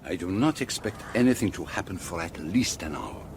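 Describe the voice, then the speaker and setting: An actor playing a shrewd, courteous, middle-aged detective in a radio drama, with a French-speaking Belgian accent and formal, precise phrasing. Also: male voice, low voice, expressive, a little fast, noisy background. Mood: courteous, watchful.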